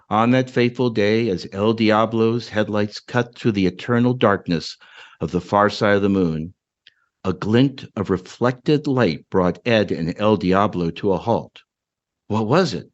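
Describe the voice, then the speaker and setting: A man's voice: fairly low pitch, muffled recording. A man giving a dramatic reading of his own short story as a podcast co-host.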